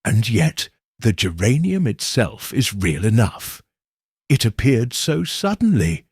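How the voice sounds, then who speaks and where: A man voicing a mild, shrewd elderly woman sleuth in audiobook dialogue, soft and measured. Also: medium pitch, slightly rough, no room sound, studio quality, full character voice. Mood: mild, probing.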